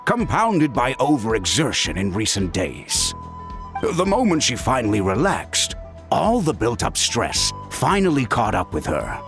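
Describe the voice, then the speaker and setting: An actor playing a caring middle-aged uncle who gently scolds his niece in a video game. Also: mid-range voice, creaky, heavily acted. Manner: warm-hearted scolding, expressive. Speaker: game character. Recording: game audio with soft music.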